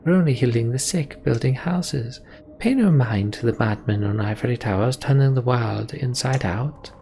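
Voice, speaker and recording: Scheming woman healer from a game, male reader, sly, persuasive, drawn-out, creaky. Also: medium pitch, warm tone, soft background music, lightly acted. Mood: conspiratorial. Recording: background noise.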